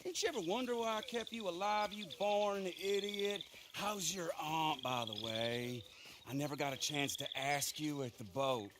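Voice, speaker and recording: A man's voice: a little high, very noisy, natural voice. A man playing a smug, menacing Old West lawman who talks with mocking politeness in a game cutscene. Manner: mocking, theatrically polite, drawn-out. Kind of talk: dramatic game cutscene acting.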